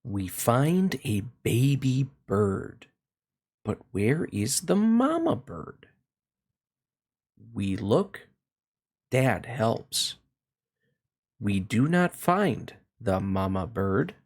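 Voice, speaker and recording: Man reading a children's book aloud, very slow, expressive, swooping pitch.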